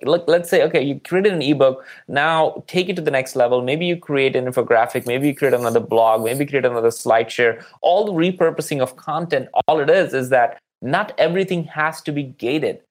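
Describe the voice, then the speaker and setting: A man speaking conversationally as a podcast guest and marketing expert, explaining with examples and repeating words for stress. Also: fairly high pitch.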